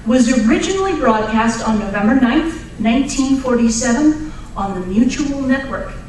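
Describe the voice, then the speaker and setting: A woman presents as an old-time radio announcer, measured and theatrical. Her voice is fairly high and unhurried. She sounds distant in a very echoey hall with faint noise. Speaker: announcer of a live radio play re-creation.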